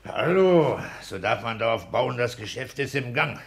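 An actor playing a cagey, practical petty-bourgeois husband, curt and dry, in a 1960s German radio play. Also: male voice, medium pitch, expressive, moderate pace, gravelly, a little hiss or hum. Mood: brisk. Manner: matter-of-fact, curt, dry.

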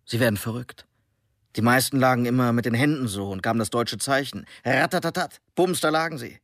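A man playing a coarse, gravelly soldier. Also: mid-range voice, a little fast, fairly bright tone, no room sound, studio quality, close to an everyday voice. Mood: boastful. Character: coarse German soldier prisoner, brutal.

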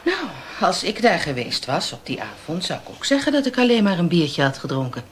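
Lively, wry woman police inspector. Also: on the low side, fairly quick, slight background noise. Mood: probing, sardonic. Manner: sardonic, probing. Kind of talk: naturalistic Dutch radio drama acting.

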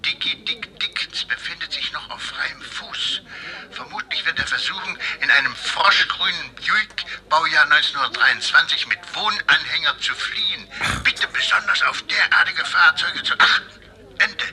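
Police radio dispatcher, very sharp and raspy, highish, clipped official delivery, two-way radio effect. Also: male voice, lively intonation, moderate pace, poor audio. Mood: urgent, official. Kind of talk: clipped announcement in a radio play.

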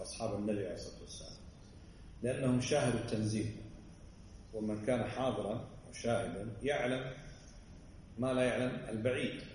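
A man teaches a religious lesson at a brisk clip, his voice mellow and low in brightness. He keeps tossing quick asides to check that listeners follow. He is caught from a distance by the mic in a very roomy, echoing space with faint ambience behind. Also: slight background noise.

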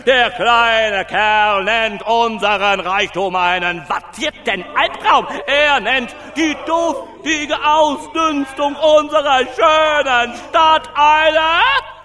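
A sneering male orator in a radio play, whipping up followers. The voice is very high and piercingly bright, declaiming slowly with scornful shouted stresses. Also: soft background music, heavily acted. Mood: mocking. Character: shrill male rabble-rousing orator, sneering, whipping up a crowd. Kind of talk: radio play political speech. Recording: crowd behind the voice.

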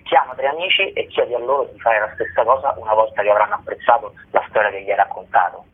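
A man with a husky voice, speaking as a guest in a radio interview. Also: on the low side, steady tone, fast talker. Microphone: telephone. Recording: narrow, tinny phone line.

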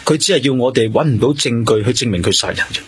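Actor as a sharp, composed male detective. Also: mid-range voice, animated, fast, slight background noise, close to an everyday voice. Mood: calm, analytical. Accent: Hong Kong Cantonese. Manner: terse, analytical, probing. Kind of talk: radio drama acting.

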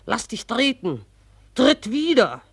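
Creaky, scolding old river spirit. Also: female voice, on the high side, very animated, slow pace, no echo, full character voice. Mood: scornful, commanding. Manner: coarse, commanding, scolding. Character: gruff, bossy old river spirit, motherly but scornful. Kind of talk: 1940s radio drama acting.

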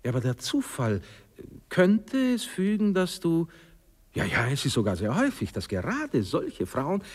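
An actor playing a prudish, moralizing young husband in a radio play, speaking in halting, self-important phrases. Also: male voice, on the high side, huge pitch swings, breathy edge, big-room sound, exaggerated voice. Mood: moralizing, agitated. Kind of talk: classic German radio play acting.

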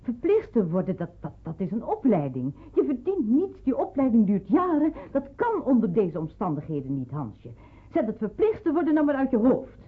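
A woman's voice: on the high side, wildly swooping pitch, normal speed, husky, heavily acted. An actress playing a practical, firm middle-aged mother in a radio drama, reasoning insistently. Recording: muffled phone-like old radio recording.

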